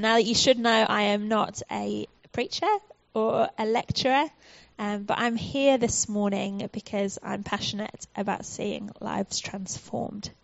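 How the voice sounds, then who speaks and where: A woman's voice: medium pitch, gravelly, no room sound, highs cut off. A woman from the United Kingdom with a British accent, a charity guest speaker giving a friendly, earnest church talk with frequent ums.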